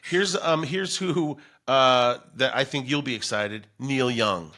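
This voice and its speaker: Male podcast host, bright punchy voice, jokey exclamatory delivery, casual comedy banter. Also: medium pitch, slow pace.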